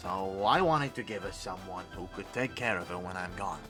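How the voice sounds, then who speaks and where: An actor playing an excitable, fatherly inventor in an audio drama, chatty and exclamatory, swinging between excitement and tenderness. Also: low-pitched, normal speed, over music. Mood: fond, wistful. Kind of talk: cinematic audio drama acting.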